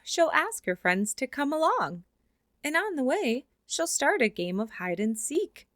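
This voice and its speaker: A woman reads a children's picture book aloud with a fairly high, bright voice. Her delivery is expressive, and she slows down for the closing lines. The sound is dry and close, with no room echo. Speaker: storybook reader. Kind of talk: children's read-aloud narration.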